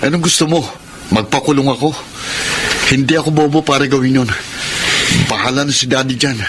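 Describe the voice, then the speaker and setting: A man's voice: mid-range voice, steady tone, brisk, noisy background, natural voice. Man playing melodramatic, arrogant young son. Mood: defiant. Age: young adult. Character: arrogant, selfish young son, defiant and self-pitying. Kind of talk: Filipino radio drama acting. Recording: loud music behind.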